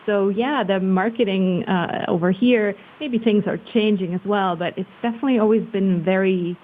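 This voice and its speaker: A woman's voice: medium pitch, moderate pace. A woman from Switzerland speaking as an interview guest, thoughtful and hesitant in casual conversation. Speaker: interview guest, marketing professional. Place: remote call. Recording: muffled phone-like line with hiss.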